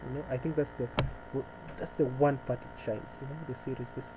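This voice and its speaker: Kenyan male podcast host, medium pitch, loose off-the-cuff delivery with hesitant, wandering thoughts, over a clear humming background noise. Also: little pitch movement, a little fast. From Nairobi, Kenya. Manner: rambling, hesitant, thinking aloud. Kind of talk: casual unscripted monologue chat. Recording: noisy with clear mains hum.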